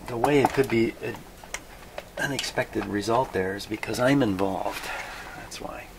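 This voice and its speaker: A man with a fairly low, creaky voice talks himself through a repair at the bench. He is halting and puzzled, muttering and poking fun at himself. The amateur recording has clear background noise. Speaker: host of a radio repair video. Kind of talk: unscripted workbench troubleshooting, thinking aloud. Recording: clearly noisy hobby video recording.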